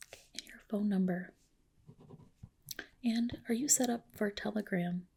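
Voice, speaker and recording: A woman whispering softly in an ASMR roleplay as a clinic doctor, gentle, polite and reassuring, with a breathy delivery. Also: mid-range voice, a little slow. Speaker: ASMR host roleplaying a dermatologist. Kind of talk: soft whispered ASMR personal-attention roleplay.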